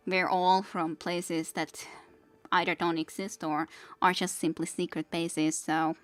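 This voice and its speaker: A woman in her thirties with a Finnish accent, Finnish being her first language, chats loosely as she plays a game on stream. Her voice sits a bit low, and her thoughts wander with many restarts. Faint music plays behind her. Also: level tone, a little slow. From Finland. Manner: rambling, reads chat aloud, many restarts. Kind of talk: casual gameplay stream chat.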